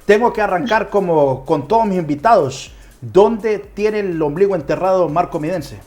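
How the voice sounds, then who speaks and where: A man's voice: sits high, moderate pace, a little mellow. Honduran man hosting over background music. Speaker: talk show host. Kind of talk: casual interview.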